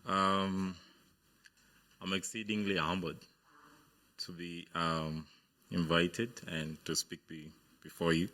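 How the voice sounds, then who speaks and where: A man's voice: sits low, flat delivery, slow, long pauses, sharp tone. A young man from Uganda giving a hesitant on-stage talk about his studies, with many fillers and restarts. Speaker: guest speaker, business founder. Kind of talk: motivational speech. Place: on stage at a university event.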